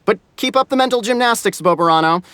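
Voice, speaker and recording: A man's voice: high voice, expressive, fast talker, a bit bright, no echo. A man voicing a smug, theatrical, sarcastic clansman in a video game, with a grandiose, mocking delivery. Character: smug, theatrical, sarcastic male clan member. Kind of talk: video game character acting.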